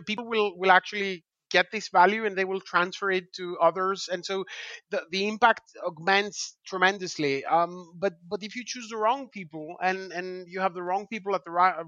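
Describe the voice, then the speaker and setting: Greek-accented man, high and bright. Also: no echo, dull audio. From Greece. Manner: halting, many restarts and fillers. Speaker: podcast host. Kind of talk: casual interview chat.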